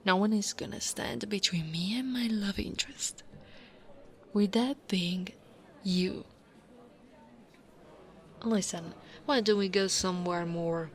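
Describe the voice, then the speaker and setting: A tall female orc royal guard, played by a woman from Italy, devoted and tender. She takes her time over her words in a medium-pitched voice, soft and intimate, in the manner of an ASMR roleplay. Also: close to an everyday voice. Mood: firm, devoted. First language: Italian. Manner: slow, intimate, often dropping to a whisper. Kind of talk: ASMR roleplay, spoken softly to the listener.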